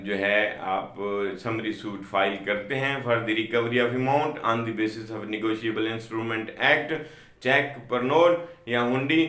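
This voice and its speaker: A man's voice: medium pitch, bright, forceful tone. A man with a Pakistani accent giving an explanatory law lecture in a didactic way, stressing and repeating key points, with English legal terms mixed in. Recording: roomy, echoing sound.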